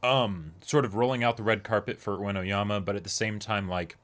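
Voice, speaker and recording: Young man with a lowish voice, discussing. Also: steady tone, fairly quick, fairly bright tone. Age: young adult. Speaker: co-host of an anime reaction show. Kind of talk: casual discussion and commentary. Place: small indoor room, seated before a backdrop.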